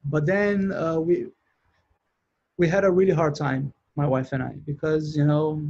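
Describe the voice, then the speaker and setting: A man with a Brazilian accent and a slightly higher, breathy voice tells his story in an easy, unpolished way. He restarts often and fills gaps with small words. The sound is muffled and lo-fi. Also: fairly even intonation, a little slow, darkish tone. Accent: Brazilian Portuguese. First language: Portuguese. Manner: rambling, many restarts and fillers. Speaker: sound engineer guest. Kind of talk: casual interview chat.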